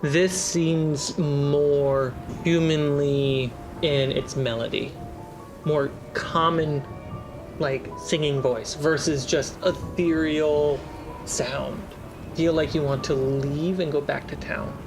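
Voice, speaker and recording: Game master narrating over loud music. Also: medium pitch, fairly even intonation, slow. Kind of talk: narrating a tabletop role-playing game.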